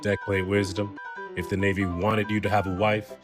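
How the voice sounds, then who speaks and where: Deep-voiced man narrating over music. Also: level tone, normal speed. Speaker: co-reader of a poem. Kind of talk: scripted narration. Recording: prominent music behind the voice. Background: instrumental music.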